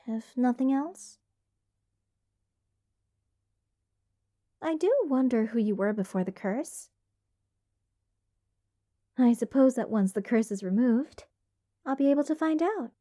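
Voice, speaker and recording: Woman playing a slow, doting, unhinged mage. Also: on the high side, warm tone, close to an everyday voice. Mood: fond, musing. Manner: sweetly rambling, fond of endearments, wry. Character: eccentric, curse-loving female mage, doting and unhinged. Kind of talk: ASMR fantasy roleplay addressed to the listener.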